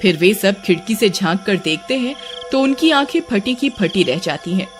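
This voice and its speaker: A woman's voice: mid-range voice, animated, moderate pace. Casting: female storyteller, mid-range voice, scripted narration with music underneath.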